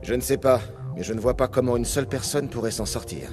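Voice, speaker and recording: Male actor as weary security captain, video game, sardonic, colloquial, medium pitch, music underneath. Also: quick pace, close to an everyday voice. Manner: blunt, dry, colloquial. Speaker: guard captain.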